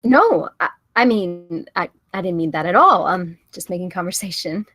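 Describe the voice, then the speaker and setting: A woman's voice: fairly low pitch, lively intonation, normal speed, a bit bright, no echo. A woman playing a hurt, confrontational young woman in a drama table read. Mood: awkward, polite.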